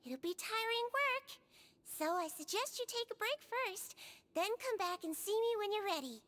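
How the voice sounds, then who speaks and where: A woman's voice: very high-pitched, expressive, normal speed, fairly bright tone. A woman voicing a small, kindly and slyly composed female nurse creature in a video game. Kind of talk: video game character acting.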